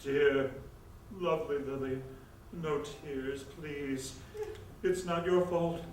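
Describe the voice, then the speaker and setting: Grandiose male theater producer, hammy and scheming, slow delivery, distant stage mic. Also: on the high side, steady tone, darkish tone. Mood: feigned dying. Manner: hammy, drawn-out pleading. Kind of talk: staged reader's theatre comedy. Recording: roomy stage recording from afar, faint noise.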